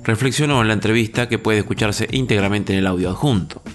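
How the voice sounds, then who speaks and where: A man with a fairly low voice reads a news report in a measured, formal manner. Faint music runs quietly underneath his narration. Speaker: news announcer. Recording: narration with soft music behind.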